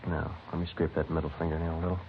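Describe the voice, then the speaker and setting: A methodical American police sergeant with a very low voice, matter-of-fact and understated. He speaks in the terse procedural manner of an old radio crime drama. The sound is thin and band-limited, with clear vintage noise. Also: little pitch movement, very fast, lo-fi sound. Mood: businesslike.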